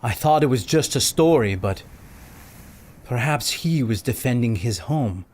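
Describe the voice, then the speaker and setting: A man playing a dignified island king who speaks calmly and solemnly. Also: mid-range voice, unhurried. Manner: calm, solemn. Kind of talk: radio play acting.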